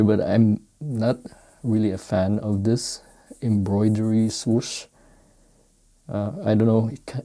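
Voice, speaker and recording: A man from the Philippines with a Filipino accent, speaking non-native English in casual, halting unboxing commentary as he searches for words. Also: fairly low pitch, vocal fry, dark tone. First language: Filipino language. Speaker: sneaker unboxing vlogger. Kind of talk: casual unscripted product commentary.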